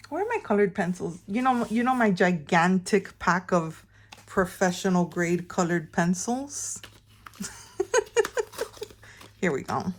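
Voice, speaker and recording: A middle-aged woman from Miami chatting casually to her viewers in a rambling, informal way, often interrupting herself. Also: mid-range voice. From Miami, Florida, United States. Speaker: vlog host. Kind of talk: casual chatty personal storytelling.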